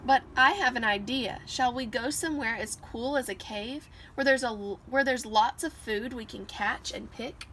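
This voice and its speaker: Woman as a bright-voiced, gentle mother bear. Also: fairly high pitch, moderate pace, hardly any pauses, a little hiss or hum, lightly acted. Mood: cheerful.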